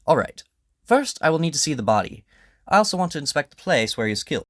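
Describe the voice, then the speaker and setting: A man playing a brisk, confident detective in an audio drama, with a probing, theatrical, declarative manner. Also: on the high side, big pitch swings, a bit bright, no room sound, studio quality, pitched above the performer's own voice. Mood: brisk, decisive. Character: brisk, confident male detective, incisive and commanding.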